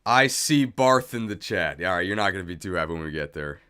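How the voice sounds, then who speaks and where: A man's voice: low-pitched, lively intonation, brisk, pushed and bright, clean tone. A man hosting a livestream, chatting casually and thinking aloud in a jokey, self-deprecating way. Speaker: streamer, video host. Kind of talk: casual livestream chat while setting up.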